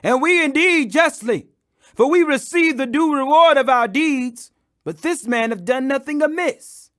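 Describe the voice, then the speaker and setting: A man's voice: very high voice, expressive, measured pace, fairly bright tone, voice pushed up, heavily acted. A man voicing a penitent condemned criminal in a scripture audiobook, earnest and emphatic. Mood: rebuking.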